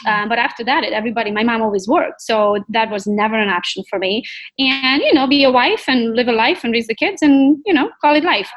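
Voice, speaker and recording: A woman's voice: medium pitch, fairly bright tone, rough recording. A woman in her thirties with a Romanian accent, telling her personal story in a chatty, candid way as an interview guest. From Transylvania, Romania. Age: 30s. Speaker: podcast guest, realtor.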